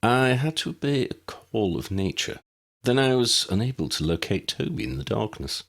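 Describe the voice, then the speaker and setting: A man voicing a wordy, courteous and theatrical gentleman adventurer in an audiobook, with florid phrasing. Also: medium pitch, sing-song, moderate pace, gravelly, pitched above the performer's own voice, full character voice. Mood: apologetic. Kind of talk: audiobook character dialogue.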